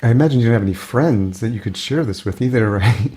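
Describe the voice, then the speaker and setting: A man with a mid-pitched voice hosts a casual interview. He speaks informally, attentively following along and putting questions to his guest. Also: quick pace, mellow, husky. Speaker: podcast host.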